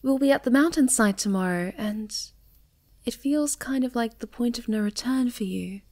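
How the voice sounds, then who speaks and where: A woman playing a sweet, affectionate, polite moth monster girl in an intimate ASMR roleplay, gentle and often dropping toward a whisper. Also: fairly high pitch, moderate pace. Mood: affectionate, playful. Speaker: voice actor in an audio roleplay.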